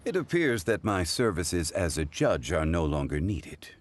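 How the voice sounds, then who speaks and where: A man's voice: sits low, big pitch swings, a little slow. A man voicing a genial, formal contest judge in an anime game. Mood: amused.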